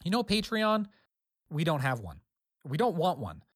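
A man's voice: fairly high pitch, huge pitch swings, moderate pace, dry recording, clean studio sound. A man presenting a scripted, friendly outro with credits for an audio drama. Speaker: presenter reading the closing credits.

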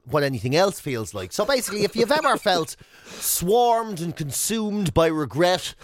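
A man's voice: high voice, moderate pace. An Irish man co-hosting a radio show in casual comedic chat, with a playful, teasing way of telling stories. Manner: playful, teasing, animated storytelling. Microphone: close studio radio mic.

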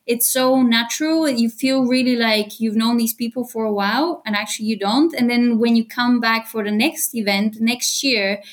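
Woman guest from bulgaria, higher pitched, lively and chatty on a podcast call. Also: normal speed, hardly any pauses. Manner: lively, chatty, laughs often. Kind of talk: casual interview chat.

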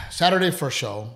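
A man's voice: a little high, a bit bright. A male comedian and podcast host in casual chat, telling a long, rambling story with lots of restarts and swearing.

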